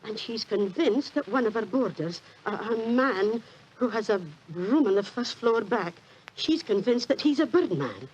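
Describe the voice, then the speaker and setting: An actress playing a humble, kindly old British landlady, husky, deferential and hesitant, in 1940s radio drama style. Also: on the high side, lively intonation, a little slow, a little mellow. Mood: anxious, earnest. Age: older. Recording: dull 1940s radio recording.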